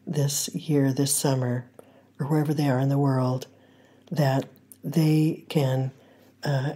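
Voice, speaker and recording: Older lady host with a hoarse husky low voice, gentle earnest devotional talk, lots of pauses. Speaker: podcast host.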